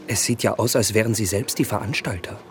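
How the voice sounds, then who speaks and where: An actor playing an observant, inquisitive visitor in a literary radio drama, with a husky voice. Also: male voice, lowish voice, brisk, noisy background, lightly acted. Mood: curious, puzzled.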